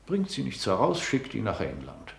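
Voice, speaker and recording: An actor playing an officious, scheming older courtier in a classical radio tragedy, fussy and self-important. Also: male voice, on the low side, huge pitch swings, normal speed, gravelly, heavily acted. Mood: brisk, advising. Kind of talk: classical stage tragedy in German radio drama. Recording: roomy, reverberant studio sound.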